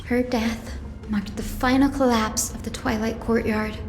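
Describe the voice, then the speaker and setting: An actress as a kindly, optimistic young priestess woman from a video game. Her voice sits fairly high and sounds earnest. It echoes noticeably, with music playing clearly behind. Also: fairly even intonation, unhurried, lightly acted. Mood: grave, reflective. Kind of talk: scripted video game voice acting.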